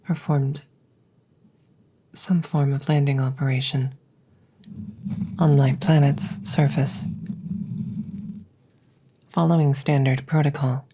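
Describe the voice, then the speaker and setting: A woman playing a calm, curious alien scientist in an ASMR roleplay, speaking softly and close up in measured, formal, clinical phrases. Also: rumbling low, full character voice. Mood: measured, explanatory. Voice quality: soft, breathy close whispering. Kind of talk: whispered ASMR audio roleplay. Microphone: close-up microphone.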